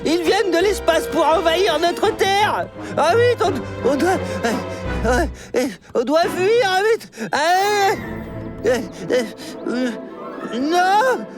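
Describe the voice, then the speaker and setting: A performer voicing an excitable, paranoid young dinosaur in a children's cartoon, dramatic and alarmist, stubborn and bossy. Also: extremely high pitch, slow, heavily acted. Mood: panicked, bossy. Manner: dramatic, alarmist, hesitant stammering. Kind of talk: children's cartoon dubbing in French. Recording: voice under loud music.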